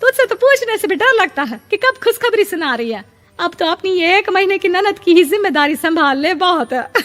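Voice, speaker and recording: A woman's voice: very high voice, expressive, normal speed, fairly bright tone, faint music behind, voice pushed up. A woman voicing a nosy, needling neighbour woman in a cartoon family drama. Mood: teasing.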